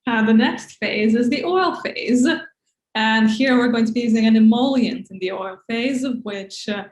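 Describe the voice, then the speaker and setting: A woman in her thirties, a former postdoc, co-hosting a science lesson with dry, deadpan humor. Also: a little high, unhurried. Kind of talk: casual educational chat. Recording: echoey room.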